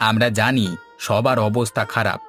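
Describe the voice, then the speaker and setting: A man's voice: lowish voice, steady tone, normal speed, a bit bright, faint music behind, lightly acted. A man playing an earnest village leader in a cartoon, speaking in formal, rallying speeches. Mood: earnest, resolute. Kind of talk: scripted cartoon dialogue.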